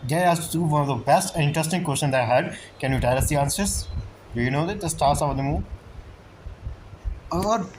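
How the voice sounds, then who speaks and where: A man's voice: fairly high pitch, brisk, pauses often, slight background noise, poor audio. A man giving informal live game commentary, reacting and asking questions aloud. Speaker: game streamer. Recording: loud game music behind. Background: game music and dialogue.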